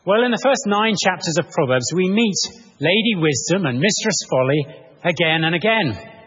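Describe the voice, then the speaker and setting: A man preaching an expository sermon in a measured, explanatory manner, posing rhetorical questions to his listeners. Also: high-pitched, lively intonation, a bit bright, slight background noise. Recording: muffled, reverberant large hall.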